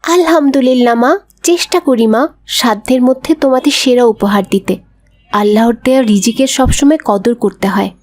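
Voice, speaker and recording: A gentle, grateful mother in a moral cartoon acted by a woman. Her voice is fairly high and she advises in a devout way. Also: moderate pace, lightly acted. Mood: gentle, advising. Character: gentle, grateful, pious mother of modest means. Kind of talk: children's cartoon voice acting.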